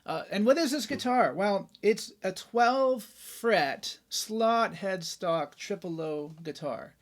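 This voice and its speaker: A man's voice: high voice, animated, slow pace. A man hosting a guitar show, talking casually and enthusiastically in a chatty, informal way about gear. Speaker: host of a guitar review show. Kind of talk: casual gear talk and demonstration.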